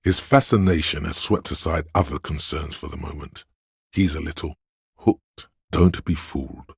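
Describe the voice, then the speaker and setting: A man voicing a sleazy, hedonistic inner voice of addiction in a video game, with a slow, coaxing, streetwise manner and a deep gravelly, husky sound. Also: no echo, studio quality, full character voice. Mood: seductive, hungry. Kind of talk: video game character voice acting.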